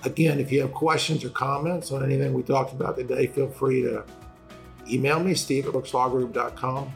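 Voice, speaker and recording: A man with a raspy voice, a lawyer hosting a casual explanatory talk, halting with fillers and restarts as he loses his voice. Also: mid-range voice, steady tone, faint music behind. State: losing voice after vocal cord injections. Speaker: personal injury lawyer and host.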